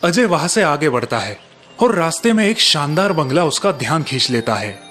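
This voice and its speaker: A man's voice: a little high, big pitch swings, normal speed, fairly bright tone, soft background music. A man narrating a scripted story as its storyteller.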